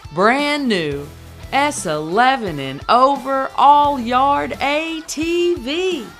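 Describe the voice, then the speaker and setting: A woman reading a mock commercial voice-over in an upbeat announcer style with a playful sales pitch. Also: fairly bright tone.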